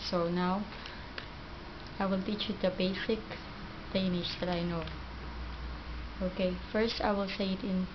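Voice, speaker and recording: Filipino woman giving a homemade phrase lesson, flat careful delivery, muffled recording with hiss behind. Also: medium pitch. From Philippines. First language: Tagalog. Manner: slow, halting, repeats each word twice. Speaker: language teacher. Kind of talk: home video language lesson. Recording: dull lo-fi home video with background noise.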